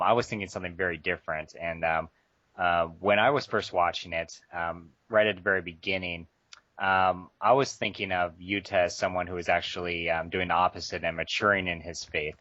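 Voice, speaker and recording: A man with a very deep yet bright, forceful voice joins a podcast as a guest, measured and thoughtful with lots of "um"s. The sound is dry and lo-fi, like a voice call. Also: fairly even intonation, no room sound. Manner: thoughtful, frequent "um"s, laughs easily. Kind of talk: casual podcast discussion.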